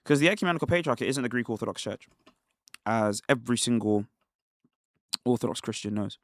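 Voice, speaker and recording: A man from Nigeria hosting a casual commentary livestream, talking in an animated, rhetorical way with laughs between points. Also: medium pitch, brisk, no echo, studio quality. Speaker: livestream host.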